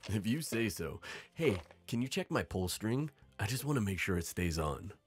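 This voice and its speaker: Man acting a low, husky, easygoing boyfriend. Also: sing-song, normal speed, faint music behind. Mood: casual, unsure. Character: easygoing, slightly nervous boyfriend in cowboy costume. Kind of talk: audio roleplay acting.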